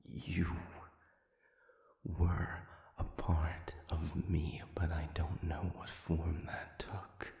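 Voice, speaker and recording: A man playing an anguished demon who has lost his memory, in an intimate audio roleplay, speaking in halting, gravelly half-whispers with formal wording. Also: low voice, little pitch movement, mellow, reverberant, heavily acted. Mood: anguished, conflicted. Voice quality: gravelly, breathy.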